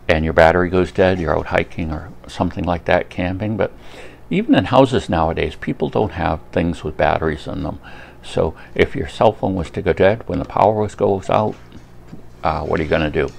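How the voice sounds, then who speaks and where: A man giving a casual, unscripted product review in a rambling way, pausing often to think. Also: deep voice, creaky, slight background noise.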